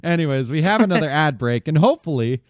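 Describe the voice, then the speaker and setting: A man's voice: a little high, lively intonation, fast, no echo. A man chatting playfully as a streamer while playing a game. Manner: playful, joking. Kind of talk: casual chat while gaming.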